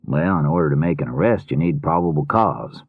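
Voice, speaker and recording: A man's voice: a bass voice, expressive, fairly quick, creaky, slightly rough, no room sound, narrow, tinny audio, full character voice. A man voicing a patient, easygoing middle-aged police detective who explains things matter-of-factly in an audiobook. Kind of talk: audiobook character dialogue.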